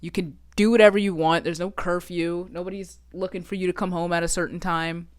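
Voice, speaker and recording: A woman's voice: on the low side, steady tone, a little fast, no room sound. A woman in her twenties from Texas, chatting casually and swearing often as she vlogs from her bedroom. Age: 20s. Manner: chatty, frequent swearing, self-joking. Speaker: vlogger. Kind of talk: casual solo podcast chat.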